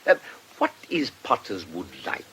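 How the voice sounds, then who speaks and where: An actor playing a shrewd, courteous investigator in a British radio drama, speaking precisely and politely with an English accent. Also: male voice, on the low side, huge pitch swings, unhurried, fairly bright tone, slight background noise, full character voice. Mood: mild, probing. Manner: precise, urbane, formally polite. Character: shrewd, courteous male investigator, doctor and lawyer, quietly sly.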